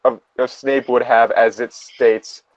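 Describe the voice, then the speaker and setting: A man's voice: medium pitch, flat delivery, slow pace, slight background noise. A man reading fan fiction aloud with casual commentary and wry, joking asides, recorded close on slightly lo-fi audio. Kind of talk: casual comedic commentary and read-along.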